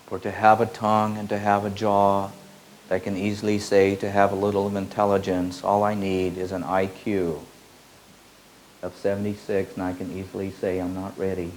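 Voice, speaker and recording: A man with a very low voice gives a spiritual teaching, repeating his phrases slowly like an incantation. His pitch barely moves. A faint hiss from an old tape sits under the voice. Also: completely flat delivery, a little mellow, low-quality recording. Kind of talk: slow meditative spiritual teaching.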